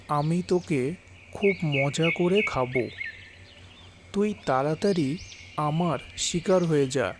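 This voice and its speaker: A man's voice: fairly high pitch, noisy background. A man voicing a hungry, bossy but gullible tiger in a cartoon fable, with a demanding manner. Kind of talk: cartoon fable character dialogue. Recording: music bed behind the voice.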